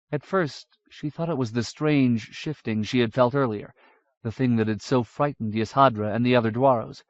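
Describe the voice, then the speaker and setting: A man's voice: medium pitch, dry recording. Man narrating an audiobook in a dry, dull recording. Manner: measured, even storytelling. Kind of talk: scripted fantasy narration.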